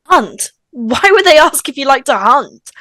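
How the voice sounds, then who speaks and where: High-pitched, sassy teenage girl, acted by a woman. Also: measured pace, dry recording, studio quality, full character voice. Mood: indignant. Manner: feisty, animated. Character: sassy, blunt, protective high-school girl. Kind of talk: live table read of a slasher film script.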